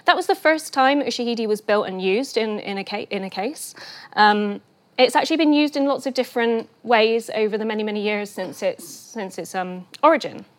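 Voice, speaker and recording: A woman giving a lunchtime lecture, a little croaky from a cold, explaining terms slowly with fillers and restarts. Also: fairly high pitch. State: getting over a cold, a bit croaky. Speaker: guest lecturer. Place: lecture room.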